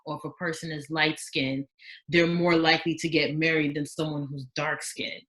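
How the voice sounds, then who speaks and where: A young woman of Haitian background talking casually as a podcast guest, outspoken and animated, acting out the voices she quotes. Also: low voice, normal speed.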